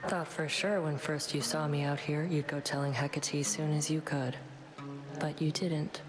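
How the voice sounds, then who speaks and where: Woman as a low-voiced, blunt rival fighter. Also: steady tone, moderate pace, natural voice, rough recording. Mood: guarded. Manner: clipped, defiant. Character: tough, blunt, stubborn young rival fighter. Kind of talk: game character acting. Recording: music behind.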